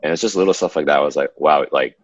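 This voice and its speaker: A man's voice: very deep voice, quick pace, dry recording, lo-fi sound. A young man from the United States, a podcast co-host, talking casually and ramblingly with many fillers. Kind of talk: casual conversation.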